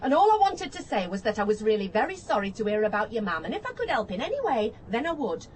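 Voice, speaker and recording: An actress playing a chatty, persistent neighbour in a radio play, forthright and easily offended, with a northern English accent. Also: female voice, a little high, big pitch swings, fast talker, slight background noise. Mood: earnest. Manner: forthright, colloquial.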